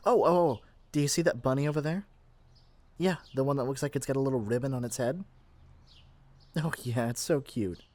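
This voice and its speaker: A man's voice: mid-range voice, fairly quick, pauses often, darkish tone, no echo, lightly acted. Man playing a lovestruck, possessive male witch. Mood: excited, gushing. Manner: flustered, gushing, chatty, with sighs and trailing hesitations. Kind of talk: ASMR roleplay addressed to the listener.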